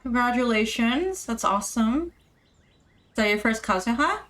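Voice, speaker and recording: High-voiced, chatty woman streamer. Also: lively intonation, moderate pace, a bit bright. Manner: chatty, exclamatory, reacting to viewers. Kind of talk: casual live stream chat over gameplay.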